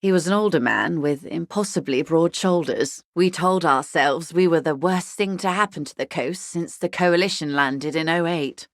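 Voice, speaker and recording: A wealthy older corporate negotiator, shrewd and urbane. Her voice sits fairly low and is recorded close and dry. She speaks in measured, polished lines with a wry edge, as video game dialogue. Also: no room sound. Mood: wry, composed.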